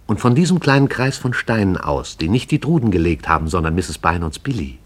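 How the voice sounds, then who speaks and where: A man narrates a radio play with a slightly low, husky voice. His delivery is measured and storytelling. It is scripted narration for broadcast drama.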